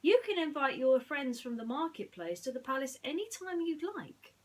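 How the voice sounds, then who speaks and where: A woman's voice: high voice, very animated, normal speed, voice pushed up. A woman voicing a kind, easygoing young storybook hero, in an encouraging, emphatic way. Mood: generous.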